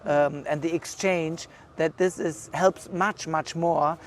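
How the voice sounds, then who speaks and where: German-accented man, thoughtful and halting. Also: fairly high pitch, fairly even intonation, a little hiss or hum. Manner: thoughtful, hesitant, many fillers. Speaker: curator being interviewed. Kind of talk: casual interview. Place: outdoors at an art exhibition.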